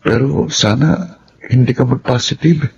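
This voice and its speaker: A man's voice: mid-range voice, measured pace, gravelly, darkish tone, slightly rough, exaggerated voice. A man playing a young Filipino boyfriend in a radio drama, evasive, hesitant and coaxing. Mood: uneasy. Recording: slightly dull audio, faint background noise.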